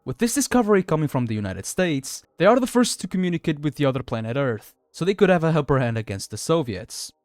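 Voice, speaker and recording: Man narrating with very animated pitch. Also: quick pace, few pauses, dry recording, clean studio sound. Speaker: video essay narrator. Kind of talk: scripted narration.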